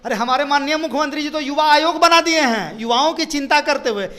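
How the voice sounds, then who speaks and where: High-pitched Bihari man, emphatic political spokesman. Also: fast talker, bright, forceful tone. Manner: rhetorical questions, emphatic repetition. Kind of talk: political interview commentary.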